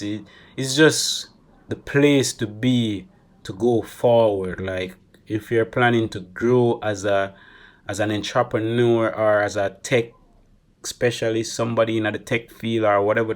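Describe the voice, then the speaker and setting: A young man with a Jamaican accent talks straight to the camera in a loose, chatty vlog. His voice sits fairly low. He keeps it animated and drops Creole phrases into his English as he goes. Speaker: travel vlogger. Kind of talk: casual vlog monologue.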